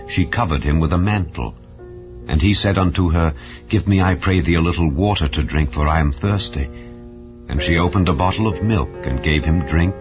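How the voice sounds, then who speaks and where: A man narrating a dramatized Bible reading in a solemn, formal, measured way. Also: low-pitched. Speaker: scripture narrator. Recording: slightly dull audio with music underneath. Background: background music.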